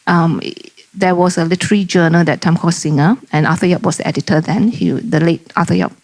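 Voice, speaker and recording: An older woman, a poet talking on a festival panel in a large hall, chatty and animated, with frequent ums and restarts, into a handheld mic. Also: lowish voice, fast, creaky. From Singapore. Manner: chatty, animated, many ums and self-corrections. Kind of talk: panel conversation. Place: large bright public hall indoors. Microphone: handheld microphone.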